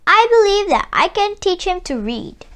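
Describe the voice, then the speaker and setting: A woman voicing a calm, confident old village wise man in a storybook, assured and persuasive. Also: very high-pitched, moderate pace, pitched above the performer's own voice, full character voice.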